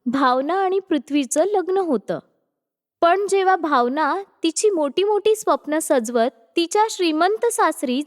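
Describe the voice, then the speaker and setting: Female storyteller, scripted moral-tale narration, high voice, long reverb.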